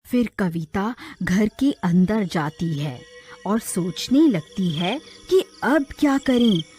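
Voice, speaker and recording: A woman's voice: medium pitch, expressive, slow, a little mellow, soft background music. A woman reading scripted narration for a cartoon horror story.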